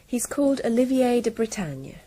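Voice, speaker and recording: A woman's voice: a little high, sing-song, moderate pace, warm tone, slight background noise. A young woman acting a proud, headstrong medieval noblewoman in a radio drama, with intense, defiant, formal delivery. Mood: proud, earnest. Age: young adult.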